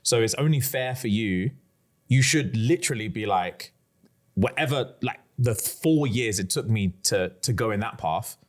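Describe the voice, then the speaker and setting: A man's voice: lowish voice, expressive, normal speed. A man in his thirties co-hosting a podcast, talking in a loose, rambling, frank way and swearing casually. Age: 30s. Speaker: podcast co-host. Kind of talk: casual chat.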